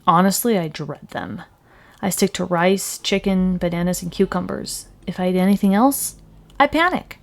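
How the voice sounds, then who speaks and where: Woman acting out tired nervous client lady late 30s, counseling roleplay, lowish creaky voice, kinda hesitant. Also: moderate pace. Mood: frustrated, exhausted. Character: anxious, worn-out 39-year-old woman client with food fear.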